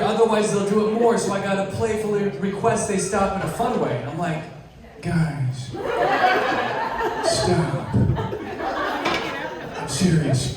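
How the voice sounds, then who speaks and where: A man's voice: high voice, far from the mic. A man performing a self-deprecating, wry stand-up set on a comedy club stage. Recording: echoey club audio from afar. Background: laughing crowd.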